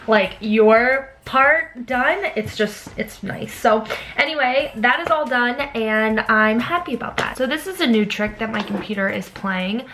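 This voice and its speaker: A young woman in her twenties vlogging casually to her camera at home, a little hoarse from a cold, chatty with lots of "like" and "you guys". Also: fairly high pitch, a bit bright, slight background noise. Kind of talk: casual vlog chat to camera. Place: living room at home, also in a car. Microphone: camera at arm's length.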